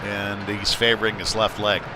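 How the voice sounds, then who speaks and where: A man doing live play-by-play of a youth hockey game in a conversational manner that rises to excitement with the play. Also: lowish voice, moderate pace. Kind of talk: live sports commentary with casual asides. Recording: clear noise of a live sports venue.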